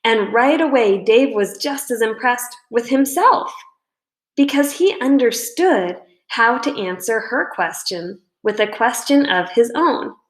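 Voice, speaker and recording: A woman reading a children's book aloud as a storyteller, in expressive scripted narration. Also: fairly high pitch, a little slow. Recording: roomy indoor echo.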